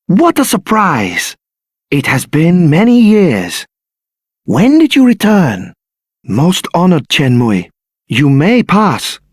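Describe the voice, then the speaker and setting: A courteous gatekeeper of a dragon people in a fantasy game. He speaks slowly in a high male voice, ceremonious and deferential, with lively intonation. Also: no echo, studio quality, heavily acted. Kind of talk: scripted game voice acting.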